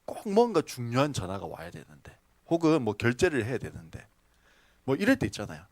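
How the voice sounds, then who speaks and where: Lively-voiced man preaching a sermon. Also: medium pitch, fairly quick, no echo. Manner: storytelling with questions to the congregation, laughing asides. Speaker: pastor. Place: church sanctuary.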